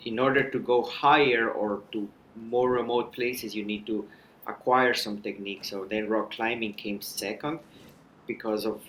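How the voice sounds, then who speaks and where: Man, 30s, low pitch, measured, reflective, faint background noise. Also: fairly bright tone, rough recording. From Ecuador. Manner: measured, with frequent restarts and filler. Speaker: mountain guide, podcast guest. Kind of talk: thoughtful conversational storytelling.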